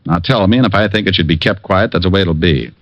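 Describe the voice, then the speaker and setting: An actor playing a tough, wisecracking private detective, terse and sardonic, in an old radio drama. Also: male voice, low voice, quick pace, fairly bright tone, no echo. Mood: firm, pressing. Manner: terse, hard-boiled, sardonic. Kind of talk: 1940s-50s radio drama acting. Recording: dull vintage radio recording.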